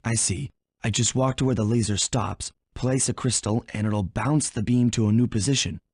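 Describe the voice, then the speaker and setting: A man's voice: medium pitch, moderate pace, a little mellow, breathy edge, dry recording, studio quality. A man playing a cool, wisecracking master thief in a video game, with a wry, laid-back delivery.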